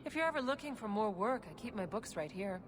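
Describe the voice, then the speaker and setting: A woman's voice: medium pitch, expressive, fast talker, noisy background, close to an everyday voice. An actress playing a businesslike, shady female trader in a dramatic video game, speaking curtly. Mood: curt, disapproving. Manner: curt, businesslike. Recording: hall reverb with music behind.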